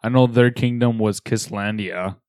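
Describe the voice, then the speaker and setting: A man co-hosting a podcast, teasing, his voice slightly raspy and cracking. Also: fairly low pitch, fairly even intonation, moderate pace, a little mellow, no echo, studio quality. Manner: teasing, wisecracking. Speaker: podcast co-host. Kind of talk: casual podcast banter.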